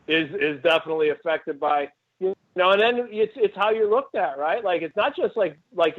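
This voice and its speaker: A fairly high-voiced man in his forties, from Cleveland, chatting as a stand-up comedian guest. He tells rambling, animated stories full of asides. The sound is thin and tinny, like a phone line. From Cleveland, Ohio. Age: 40s. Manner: rambling, animated storyteller who jumps between asides. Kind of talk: casual interview chat. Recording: tinny phone-like call audio.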